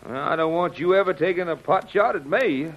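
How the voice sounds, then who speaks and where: A man's voice: on the high side, normal speed. An actor playing a slangy, folksy soldier who bosses his men around in a vintage radio serial. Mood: wry. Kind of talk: 1930s radio serial acting. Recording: muffled vintage radio recording.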